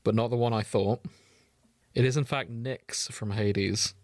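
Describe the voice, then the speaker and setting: A man's voice: mid-range voice, fairly even intonation, measured pace, a little mellow, no room sound, studio quality. A man co-hosting a podcast in casual chat, teasing and swearing freely. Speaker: podcast co-host.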